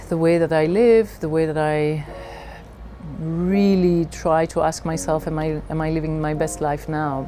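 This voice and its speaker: A middle-aged woman from Belgium telling her personal story in a reflective way, haltingly searching for her words. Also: low-pitched. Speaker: leadership coach.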